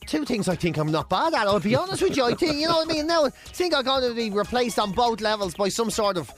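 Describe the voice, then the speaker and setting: An Irish man with a strikingly high-pitched voice presents a radio show. His talk is casual and full of joking banter. A faint bed of music sits underneath him. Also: big pitch swings, fast talker, fairly bright tone. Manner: joking, chatty. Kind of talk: casual banter and quiz hosting. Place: radio studio.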